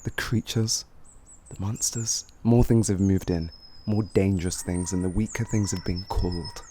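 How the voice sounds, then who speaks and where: A man's voice: deep voice, steady tone, mellow. A man playing a cocky, flirty, streetwise bandit in an improvised fantasy roleplay, with teasing, theatrical delivery. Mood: condescending, warning. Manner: teasing, theatrical, drawn-out phrasing with chuckles.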